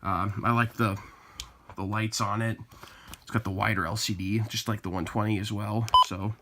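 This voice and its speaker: A man's voice: fairly low pitch, fairly even intonation, normal speed, background noise. A man with an American accent casually presenting items from his hobby collection, as in a home product show-and-tell.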